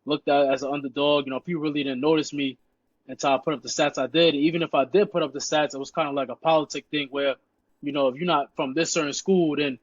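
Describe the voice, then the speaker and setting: A man's voice: fairly high pitch, quick pace, dry recording. Laid-back young New man, dull dry sound. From Queens, New York. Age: young adult. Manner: relaxed, repeats "you know" constantly. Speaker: podcast guest, high school football player. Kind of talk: casual interview chat.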